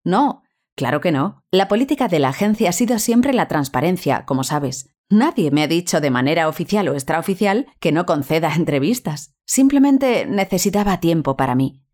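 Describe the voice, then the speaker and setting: A woman playing a composed, guarded astronaut being interviewed in a scripted audio drama, with measured answers. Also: on the low side, big pitch swings, darkish tone. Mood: calm, guarded. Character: composed, guarded Spanish woman astronaut turned university researcher. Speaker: interview guest.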